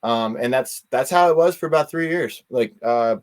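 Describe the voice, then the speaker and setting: A man talking casually as an interview guest, telling things in a rambling, animated way with quoted asides. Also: mid-range voice, moderate pace.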